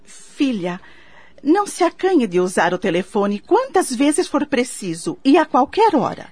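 Actress as doting older neighbor lady, Brazilian, radio drama; very animated, unhurried, fussy cooing. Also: high-pitched, husky, no room sound, heavily acted. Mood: kindly, soothing. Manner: fussy, cooing baby talk and scolding. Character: kindly older neighbor lady, doting mother to her dog.

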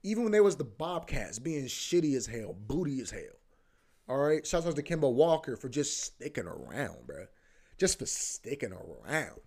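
A man's voice: medium pitch, big pitch swings, normal speed, a little mellow, slightly rough. A man hosting a podcast, rambling casually about sports, repeating himself, swearing and saying "bro" a lot. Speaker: podcast host.

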